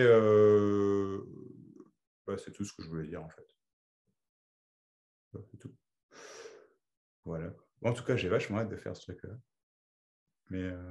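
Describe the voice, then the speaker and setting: A man's voice: on the low side, a little mellow. A man who grew up in Belgium, hosting a video-call meeting and chatting casually, rambling with many restarts. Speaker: meeting host.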